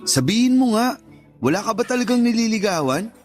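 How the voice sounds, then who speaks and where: A man's voice: sits high, sing-song, brisk, darkish tone, soft background music. An actor playing a teasing, pushy married best friend in his thirties, with a Filipino accent, coaxing and joking in a radio drama. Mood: coaxing, nagging.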